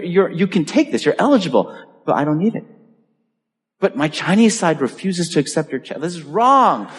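A man's voice: mid-range voice, in a large hall. A man pastor preaching a sermon, driving points home with emphatic repetition and telling a personal story. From Boston area, US. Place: church.

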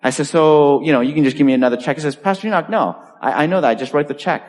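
A man's voice: fairly high pitch, rapid-fire, a little mellow, long reverb tail. A man pastor preaching a sermon, driving points home with emphatic repetition and telling a personal story. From Boston area, US. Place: church.

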